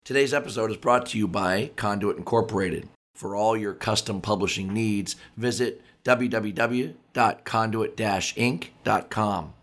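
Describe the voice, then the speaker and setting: A man hosting a podcast, talking in a casual, chatty way with a raspy, hoarse voice, often repeating words and filling pauses. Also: lowish voice, a bit bright. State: hoarse from overuse. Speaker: podcast host.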